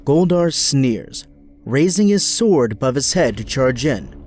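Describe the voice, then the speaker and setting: A man's voice: mid-range voice, big pitch swings, measured pace, a little mellow, faint music behind. A man giving dramatic scripted narration as the narrator of an action audio drama.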